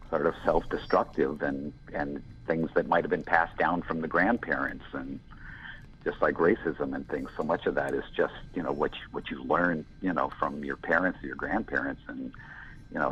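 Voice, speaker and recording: A man in his sixties with a gravelly, gruff voice, giving a rambling, reflective interview answer with lots of fillers. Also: extremely low pitch, slight background noise. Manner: rambling, reflective, many "you know" fillers. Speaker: interview guest, filmmaker. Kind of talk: casual conversational interview. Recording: dull lo-fi audio.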